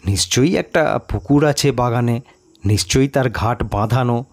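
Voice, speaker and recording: A man reads a mystery tale as an audiobook. His delivery is slow and deliberate, with dramatic pauses. The mid-pitched voice rises and falls animatedly as the story unfolds. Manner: measured, expressive storytelling with pauses. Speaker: story narrator.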